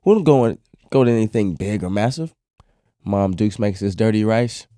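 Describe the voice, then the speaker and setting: A man's voice: on the low side, lively intonation, moderate pace. A man on a sports talk panel, chatting in casual slang with a playful, emphatic delivery. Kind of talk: casual, slangy basketball banter.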